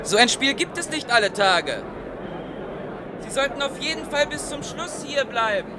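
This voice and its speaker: A man playing an excited, insistent game spectator in a vintage radio drama. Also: very high voice, moderate pace. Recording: loud outdoor noise over voice.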